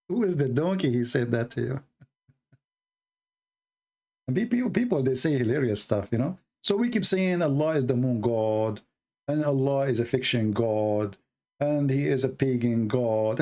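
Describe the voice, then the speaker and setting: A man's voice: medium pitch, creaky, warm tone. A man with an Arabic accent, a native Arabic speaker from the Middle East, hosting a talk show in blunt, mocking casual commentary with broken English grammar. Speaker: debate show host.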